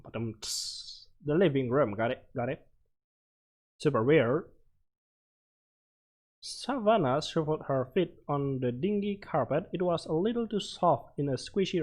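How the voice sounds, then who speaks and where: A man doing casual game commentary, reading on-screen text aloud and reacting with short, halting interjections. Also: medium pitch, lively intonation, darkish tone. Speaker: let's player. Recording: music playing behind the voice. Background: game music.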